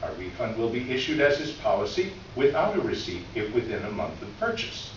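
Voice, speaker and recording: A man's voice: medium pitch, very animated, close to an everyday voice. A smug, condescending male store official, acted by a man reads a formal letter in a readers theater piece. His intonation swings widely as he leans on sarcastic points. The sound is distant and very reverberant, as from a hard-walled room. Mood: smugly polite, sarcastic. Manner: formal, sarcastic, deliberate emphasis. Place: live reading in an echoey room. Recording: distant echoey room, muffled, faint noise.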